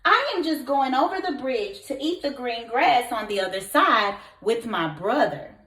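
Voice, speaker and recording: In a roomy, echoing space, a woman plays a middle-sized storybook billy goat with a raised, lilting voice. He is nervous but crafty, halting and persuasive. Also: a little high, lively intonation, a bit bright, distant mic. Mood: timid. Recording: echoey room.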